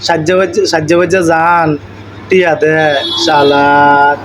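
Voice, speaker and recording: A man's voice: fairly high pitch, level tone, unhurried. A man with an eastern Bengali dialect, chatting casually and teasingly. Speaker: vlogger chatting with a friend. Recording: noisy outdoor recording with traffic ambience.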